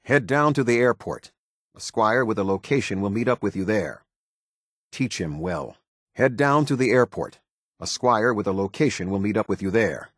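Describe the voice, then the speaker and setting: An American man playing a stern, formal military officer in a video game, speaking with husky, clipped, measured authority. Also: on the low side, lively intonation, no room sound, clean studio sound. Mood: instructive, steady. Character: stern, formal male military officer of a post-apocalyptic order. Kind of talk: video game character dialogue.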